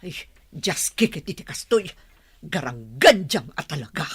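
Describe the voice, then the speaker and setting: A woman's voice: sits low, big pitch swings, measured pace, husky, heavily acted. A woman playing a suspicious, haughty mother of a grown son, scolding and nagging in an audio drama. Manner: sharp, nagging, exclamatory. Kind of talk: melodramatic audio drama acting.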